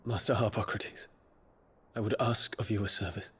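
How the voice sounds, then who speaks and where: A man's voice: on the low side, flat delivery, moderate pace, natural voice. A man playing an earnest, dutiful royal heir in a video game, formal and restrained. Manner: formal, restrained, hushed at times. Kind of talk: dramatic video game acting. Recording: faint background ambient noise.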